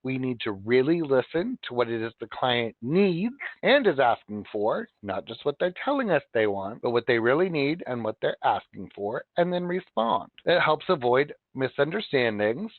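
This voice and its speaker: A man's voice: mid-range voice, sing-song, moderate pace, few pauses, dry recording. A man teaching insurance customer service in a conversational, upbeat way, sometimes acting out quoted dialogue. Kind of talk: instructional talk. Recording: tinny phone-like sound, dry.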